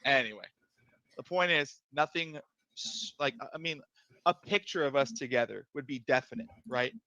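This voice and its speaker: A man with a soft voice, defending himself on a live stream, hesitant with many fillers and restarts. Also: mid-range voice, normal speed, no echo, lo-fi sound. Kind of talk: casual live stream debate.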